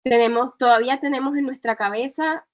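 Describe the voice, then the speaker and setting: A woman's voice: high voice, a little fast, dull audio. A woman guest in an interview, talking reflectively with frequent fillers and restarts. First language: Spanish. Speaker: guest, travel entrepreneur. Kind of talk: conversational interview.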